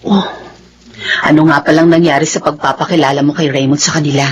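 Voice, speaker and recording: A woman's voice: low voice, steady tone, fast talker, muffled recording, natural voice. An actress playing a concerned, inquisitive female friend and coworker in a radio drama. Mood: concerned, surprised. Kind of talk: Filipino radio drama acting.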